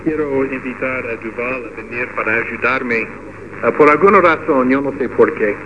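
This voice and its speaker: Man speaking halting, Spanish. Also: mid-range voice. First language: English. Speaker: radio pastor. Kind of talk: church ceremony speech. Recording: muffled with background noise.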